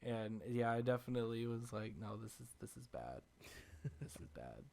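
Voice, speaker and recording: A man's voice: medium pitch, very animated, moderate pace, vocal fry, a little mellow. A man hosting a podcast in a casual, conversational style, chatting and reading sponsor spots. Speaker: podcast host. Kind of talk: casual chat and ad reads.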